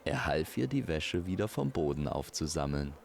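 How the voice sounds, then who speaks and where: A man's voice: extremely low pitch, very animated, moderate pace, vocal fry, soft background music. A man narrating a scripted fairy-tale audio drama as its storyteller.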